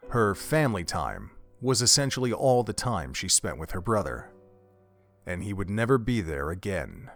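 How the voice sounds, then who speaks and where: A man's voice: on the low side, big pitch swings, moderate pace, faint music behind. A man narrating an audio drama from a script, telling a story in the third person.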